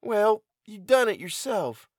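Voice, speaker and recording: A man's voice: high voice, sing-song, takes it slowly, husky, dry recording, studio quality, voice pushed up, heavily acted. A man voicing a sullen young farm boy who speaks in guarded, rustic dialect.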